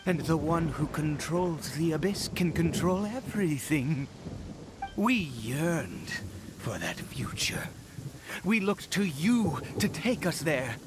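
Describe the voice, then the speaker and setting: An actor playing a grief-stricken, fanatical nobleman in a video game, speaking theatrically and swinging between hushed and exultant delivery. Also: a little high, very animated, slow. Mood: scornful, bitter. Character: grief-stricken, fanatical nobleman father devoted to a dark power. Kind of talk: dramatic video game voice acting. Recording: loud rain and thunder noise behind.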